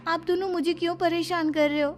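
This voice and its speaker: A woman's voice: extremely high pitch, flat delivery, brisk, soft background music, pitched above the performer's own voice. A woman voicing a wronged, defiant young sister who protests indignantly in a cartoon family drama. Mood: indignant, pleading.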